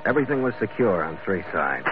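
Low-voiced hard-boiled radio sailor. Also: male voice, big pitch swings, fast. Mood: grim narration. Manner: terse, hard-boiled, matter-of-fact. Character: tough, wry Irish-American sailor hired as bodyguard, narrating. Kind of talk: 1940s-50s radio drama acting, hard-boiled narration. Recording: dull vintage radio recording.

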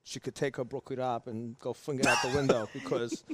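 A man hosting a casual podcast chat, talking in a rambling, animated way. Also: medium pitch, heavy background noise. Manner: rapid-fire, rambling, animated. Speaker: podcast host. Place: pastry shop.

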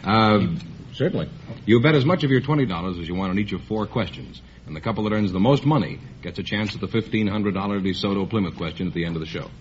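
Courteous American announcer, muffled old radio. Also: male voice, lowish voice, fast talker. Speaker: quiz show announcer and sidekick. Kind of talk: formal 1950s radio announcing. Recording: muffled radio, faint noise and audience.

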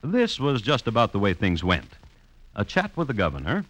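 A man's voice: fairly low pitch, huge pitch swings, normal speed, a bit bright, poor audio. A man narrating a classic radio drama as host, wry and droll, with mock-hesitant delivery.

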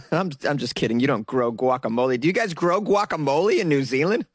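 Male host, casual news riffs, sarcastic and rambling, slightly high voice, dead-dry sound. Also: brisk, no room sound. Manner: sarcastic, rambling, jokey asides to viewers. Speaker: solo news commentary host. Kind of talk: casual commentary reading news articles.

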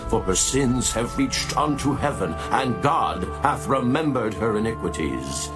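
A man's voice: medium pitch, animated, measured pace, music in the background, lightly acted. A man playing a solemn heavenly voice in a dramatized scripture reading, pronouncing judgment and lament in a declamatory way. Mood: stern judgment.

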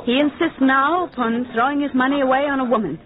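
A woman's voice: high voice, steady tone, fast, natural voice. A woman playing a haughty, sly, scheming society wife in a 1940s radio drama, with coquettish manners. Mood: feigned distress, coy. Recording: muffled, narrow-band old radio recording.

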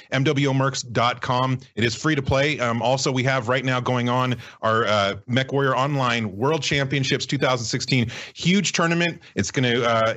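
A man's voice: mid-range voice, fairly bright tone. A man guesting on a podcast over a remote call, upbeat as he promotes a game event. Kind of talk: casual podcast chat.

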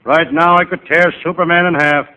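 A male comedian playing a wisecracking, hapless private eye, narrating in deadpan first person in old-time radio comedy style. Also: on the high side, normal speed. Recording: noisy, tinny vintage radio recording.

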